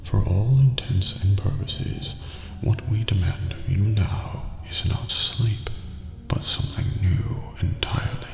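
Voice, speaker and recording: A man playing a courteous, eerie old master of a dreamlike prison in an ASMR roleplay, speaking formally and ominously in a gravelly near-whisper. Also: low voice, unhurried, a little mellow. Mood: calm, instructive. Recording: echoey hall reverb with background music.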